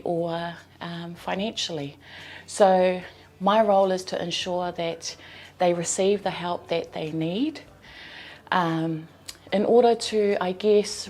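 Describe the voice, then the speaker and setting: A young woman from New Zealand speaks in a slightly low voice, earnest and measured. She describes her community work, with the odd filler as she finds her words. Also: darkish tone. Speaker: community connector and mental health worker. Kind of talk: scripted introduction to camera.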